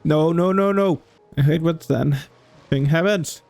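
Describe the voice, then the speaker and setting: A man's voice: a little high, a little slow, a little mellow. A young man doing casual, rambling gameplay commentary, thinking aloud in an informal way. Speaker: video game let's-play host. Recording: game music behind commentary.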